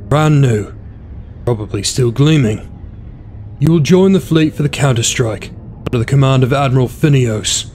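An actor playing a brisk, formal, authoritative marshal in a science fiction audio drama. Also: male voice, medium pitch, warm tone, lightly acted. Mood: stern, official. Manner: formal, commanding. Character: brisk, authoritative military marshal in space opera. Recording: faint background ambience noise.

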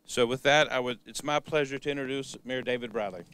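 A man's voice: medium pitch, brisk, fairly bright tone, a little hiss or hum. An older American man, a board chair, reading prepared public remarks at a podium. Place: open parking lot outdoors. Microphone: podium microphones.

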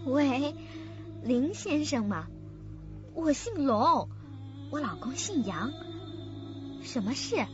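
A woman's voice: sits high, very animated, takes it slowly, long pauses, full character voice. An actress playing a deranged, broken woman in a radio drama, half laughing and half crying as she talks. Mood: deranged, pleading. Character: mad, broken sister-in-law babbling into a toy phone. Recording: muffled dull recording with loud music.

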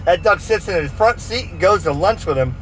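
Guy with a high voice, folksy slangy talk, animated, bit of car noise behind him. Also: brisk. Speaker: vlogger chatting on CB radio. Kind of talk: casual chat. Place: inside a vehicle in traffic. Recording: faint vehicle noise.

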